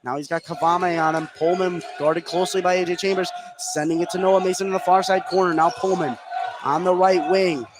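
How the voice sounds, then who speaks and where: A man with a slightly high voice does live radio play-by-play of a basketball game, following the ball from player to player. Loud gym noise sits clearly behind his commentary. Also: steady tone, a little fast. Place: indoor high school basketball gym, courtside. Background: loud gym ambience.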